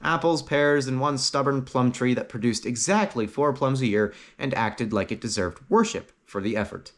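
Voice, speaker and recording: Man narrating a story with lively, swooping pitch. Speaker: audiobook narrator.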